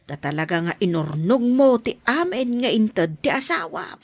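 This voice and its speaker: A woman's voice: mid-range voice, lively intonation, normal speed, no room sound, lightly acted. A woman acting an older, plain-spoken mother giving advice in a podcast drama. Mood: advising.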